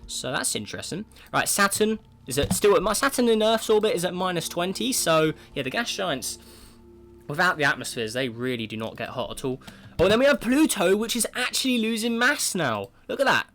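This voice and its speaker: A man's voice: high voice, sing-song, fairly quick, a bit bright, soft background music. A man with a cold and a sore throat, chatting casually as he comments on a space simulation game, thinking aloud and often starting with "right, so". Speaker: gaming video creator.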